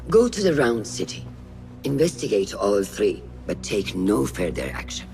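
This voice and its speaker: A man's voice: fairly low pitch, big pitch swings, moderate pace, gravelly, a little mellow, low-quality recording. An actor playing a grave, cautious and commanding male master of an order in a video game, solemn and authoritative. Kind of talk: cinematic video game acting. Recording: music behind the voice. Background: music.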